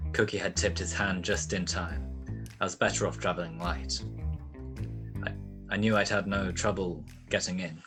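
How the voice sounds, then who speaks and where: An actor playing a tough, sardonic private detective and narrator in 1940s radio style. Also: male voice, low voice, natural voice. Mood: brooding, wry. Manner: sardonic, wisecracking.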